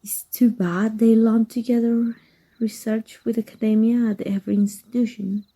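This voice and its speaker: A woman playing an exiled evil magic teacher in an ASMR roleplay, wry and fond, speaking softly and intimately with a husky, breathy voice. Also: fairly high pitch, level tone. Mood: resigned. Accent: Mexican. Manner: wry, unhurried, intimate, addressing a former student.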